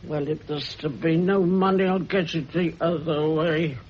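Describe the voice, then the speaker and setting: Actress doing a nasty scheming old woman, slow husky threats, muffled old radio sound. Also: deep voice, steady tone. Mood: muttering. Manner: bitter, threatening, slow drawl. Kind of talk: radio drama acting. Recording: dull old radio recording.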